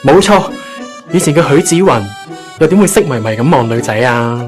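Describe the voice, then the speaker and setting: A breezy young office gofer, played by a man chats in a fairly high male voice with some music behind. He is familiar and teasing, with a Hong Kong accent, in radio drama style. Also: animated, brisk, lightly acted. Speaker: voice actor in an online radio drama. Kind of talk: casual radio drama dialogue.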